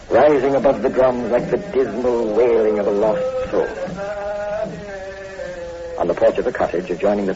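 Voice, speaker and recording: A man's voice: lowish voice, background noise, lightly acted. Man playing ominous old-time radio horror host. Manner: solemn, ominous, theatrical, formal. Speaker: host and narrator. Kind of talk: 1940s radio horror narration, scripted. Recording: muffled old radio recording, faint music.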